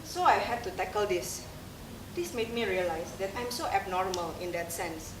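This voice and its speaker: A young woman with an Indonesian accent, telling her story in a candid, self-mocking stage monologue with pauses. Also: medium pitch, animated. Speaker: theater performer. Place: dark theater stage. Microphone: distant room mic. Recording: roomy stage sound from far off, audible noise.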